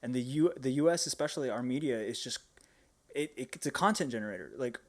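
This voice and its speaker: A man in a casual podcast discussion speaks at a middling pitch. He rambles informally and leans on slang as he thinks things through. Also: lively intonation, quick pace. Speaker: podcast co-host.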